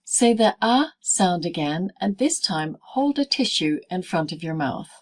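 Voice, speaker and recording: A woman presenting a scripted pronunciation lesson as a teacher, speaking in deliberate, clearly segmented phrases. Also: lowish voice, very animated. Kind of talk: scripted instructional narration in easy English.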